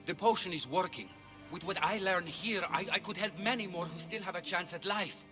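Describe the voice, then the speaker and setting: An eager physician of ancient Greece, played by a man in a game scene. His voice is high and earnest, full of hope. It carries a long reverb over loud music. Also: a little fast, lightly acted. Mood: hopeful. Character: eager, idealistic male ancient Greek physician. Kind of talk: video game cinematic drama acting.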